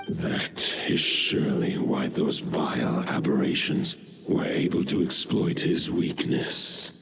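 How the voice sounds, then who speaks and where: An actor playing an ancient, solemn wolf spirit in a video game, speaking in formal, archaic phrases with grave resignation. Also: male voice, heavy background noise, heavily acted. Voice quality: deep, gravelly. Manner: formal, archaic, measured speech.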